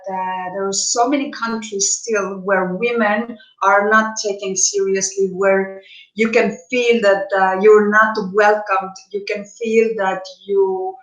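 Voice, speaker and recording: A woman's voice: medium pitch, roomy sound, poor audio. A woman from Estonia, a business coach, giving hesitant conversational interview answers with many restarts.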